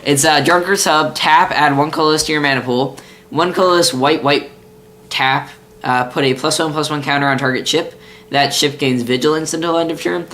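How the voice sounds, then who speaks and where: A man's voice: fairly high pitch, normal speed, bright, forceful tone, a little hiss or hum. A man with a cold and a nasal, congested voice, co-hosting a casual podcast and talking things through with many fillers and restarts. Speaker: podcast co-host. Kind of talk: casual card-design chat.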